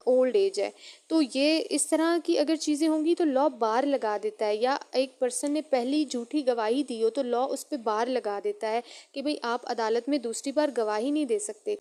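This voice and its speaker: A woman's voice: high-pitched, warm tone. A woman with a Pakistani accent giving an explanatory lecture, teaching step by step, rephrasing her points and mixing in English terms. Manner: didactic, repeats and rephrases points, mixes in English legal terms. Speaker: law lecturer and advocate.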